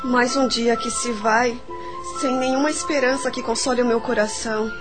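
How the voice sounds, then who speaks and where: A woman's voice: fairly high pitch, steady tone, normal speed, music in the background, close to an everyday voice. An actress playing a frail, melancholic young woman in a radio drama, mournful, sighing and resigned to dying. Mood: melancholy, sighing.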